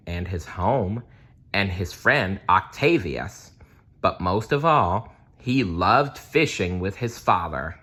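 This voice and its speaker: A man reading a children's story aloud in a deliberate, storytelling manner. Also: low-pitched, sharp tone, breathy edge.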